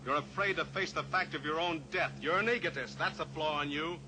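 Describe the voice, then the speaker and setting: An actor playing a calm, steely cowboy pilot hero who coolly taunts his captor, in a 1950s radio drama. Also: a little high, a little fast, lightly acted. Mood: coolly taunting. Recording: old radio recording with hiss.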